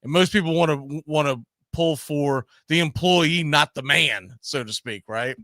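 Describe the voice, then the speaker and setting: A man's voice: on the high side, unhurried, sharp tone, no echo, studio quality. A man hosting a casual sports debate, speaking emphatically and repeating phrases to press his point. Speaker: sports talk host. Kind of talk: casual argumentative sports discussion.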